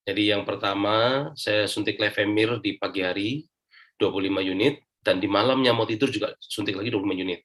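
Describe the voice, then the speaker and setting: A man with a fairly low voice and an Indonesian accent speaks as an interview guest. He gives his own account casually, explaining it step by step in colloquial speech. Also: brisk, a bit bright. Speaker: interview guest giving a personal testimonial. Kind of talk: casual spoken personal account.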